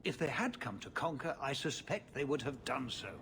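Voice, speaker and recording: An actor playing a burdened middle-aged city ruler in a fantasy game, speaking in a measured, formal, world-weary way. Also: male voice, medium pitch, animated, noisy background, lightly acted. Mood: grave, measured. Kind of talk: video game cutscene acting.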